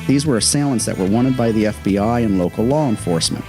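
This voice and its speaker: A man's voice: lowish voice, expressive, fast talker, over music. A man hosting a programme with scripted historical commentary, in the manner of a prepared narration. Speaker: host, radio historian.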